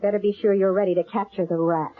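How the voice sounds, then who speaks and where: A woman's voice: medium pitch, steady tone, fast talker, lightly acted. A woman playing a wry, theatrical stage psychic in an old-time radio mystery. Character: weary, wry female stage psychic, uneasy under threat. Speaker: actress. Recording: muffled, narrow-band old radio recording.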